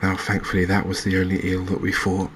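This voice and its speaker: A man giving soft-spoken, unhurried ASMR commentary as he plays a game, musing aloud to his viewers. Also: low voice, completely flat delivery, slight background noise. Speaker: game streamer, host.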